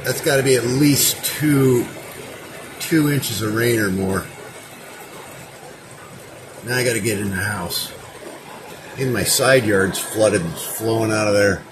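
A man's voice: on the low side, unhurried, pauses often, background noise. An American man vlogging casually off the cuff, with a folksy, exclamatory way of remarking on things. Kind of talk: casual off-the-cuff narration to camera. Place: inside a moving car in heavy rain, then around a house. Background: music on car radio, rain.

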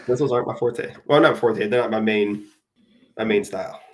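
A man's voice: fairly low pitch, normal speed. A man in his thirties, a guest on a casual video call, talking in a rambling, self-deprecating way with lots of hesitant fillers. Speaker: guest dyer. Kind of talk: casual chat. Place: home room. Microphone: webcam on a video call.

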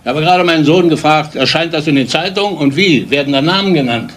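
An indignant older patriarch played by a man in a crime radio play. His voice sits fairly high, and he declaims pompously about the family's respectability, deeply affronted. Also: fast talker, fairly bright tone, lightly acted. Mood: indignant, shaken. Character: outraged, respectability-obsessed father of a grown son. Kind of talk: German radio crime drama acting.

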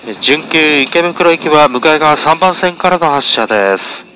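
A man's voice: a little high, lively intonation, unhurried, sharp tone, rough recording. A man, a train conductor, making a formal, polite, routine live announcement on board.

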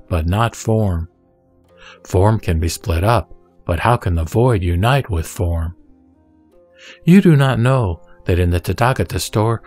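Man voicing a solemn low-voiced sage. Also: a little mellow, close to an everyday voice. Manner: solemn, didactic, measured. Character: the Buddha, serene authoritative male teacher. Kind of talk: scripted scripture audio drama.

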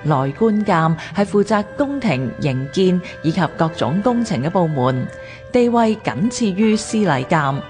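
A woman with a Hong Kong Cantonese accent reads a scripted history documentary in a formal, measured way. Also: on the low side, expressive, a little mellow, faint music behind. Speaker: narrator of a radio history programme.

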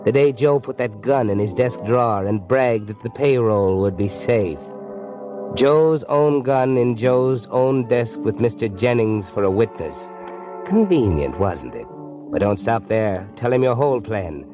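Actor as a taunting inner voice, muffled recording. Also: male voice, mid-range voice, animated, natural voice. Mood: sardonic, goading. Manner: taunting, sardonic, deliberate. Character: taunting, sardonic male inner voice goading a killer. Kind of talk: old-time radio drama acting, narrating in second person. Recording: muffled old radio recording. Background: dramatic music.

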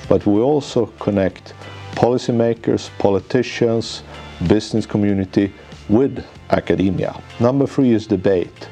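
A man in his forties, a managing director and economics professor, giving a formal, measured scripted presentation in an office lounge. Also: on the low side, warm tone. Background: faint background music.

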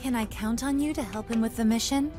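An actress playing a caring mother on a space expedition in an animated film, speaking gently and coaxingly. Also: fairly high pitch, a little fast, close to an everyday voice. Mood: gentle, coaxing. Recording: music behind the voice.

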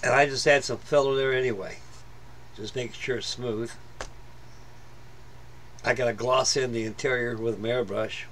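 A man's voice: mid-range voice, fairly even intonation, moderate pace, pauses often, fairly bright tone, a little hiss or hum. A middle-aged man giving casual, rambling commentary on a model build at his workbench, full of fillers and restarts. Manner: plain-spoken, rambling, many fillers and restarts. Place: workbench in a home hobby room.

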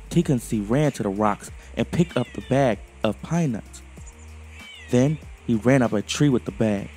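A man hosting and narrating a children's story in a deliberate, expressive manner. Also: mid-range voice. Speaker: storyteller, host.

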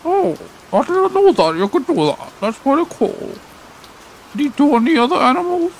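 High, swooping, chatty young woman acted out. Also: sing-song, moderate pace, slight background noise, low-quality recording. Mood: chirpy. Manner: rambling, chirpy, self-correcting. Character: chatty, apologetic, eager young woman with a new voice. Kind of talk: comedic amateur game redub.

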